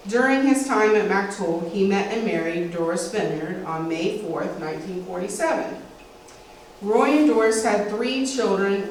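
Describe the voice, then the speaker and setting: Middle-aged American woman, script-reading speaker at a community history event. Very reverberant room, distant mic. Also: medium pitch. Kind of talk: reading a prepared family history script aloud with occasional asides. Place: indoor meeting room with a small audience. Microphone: distant camera, speaker at a lectern. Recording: echoey room, distant mic, faint background noise.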